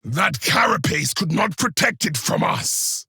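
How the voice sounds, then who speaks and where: A zealous armored warrior from a combat video game, spitting contempt at fallen foes. The voice is very rough and husky, pitched fairly high, with big swings between snarl and battle cry. The delivery is forceful and declamatory. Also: male voice, expressive, a little slow, dry recording, clean studio sound, soft background music, exaggerated voice. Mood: grim disdain. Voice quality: raspy, gravelly. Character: battle-hardened male Space Marine, contemptuous, zealous, wrathful warrior.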